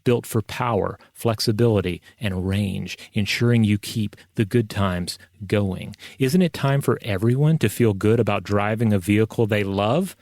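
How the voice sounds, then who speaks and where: A man's voice: on the low side, normal speed, few pauses, a little mellow, no echo. A man co-hosting a podcast, speaking conversationally into a close mic. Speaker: podcast co-host. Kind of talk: casual chat, scripted ad reads and listener mail.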